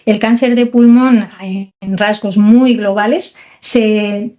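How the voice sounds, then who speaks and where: A woman's voice: mid-range voice, fairly even intonation, takes it slowly, mellow, highs cut off. A woman in her forties, from Portugal, a surgeon answering interview questions in a hesitant, explanatory way. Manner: hesitant, many fillers and restarts while explaining. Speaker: thoracic surgeon, guest.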